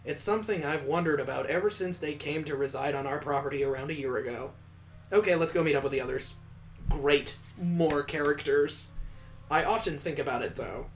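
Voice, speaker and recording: A man's voice: fairly high pitch, fairly quick, a little hiss or hum. A man reading a visual novel aloud as a let's play host, adding sarcastic, playful asides.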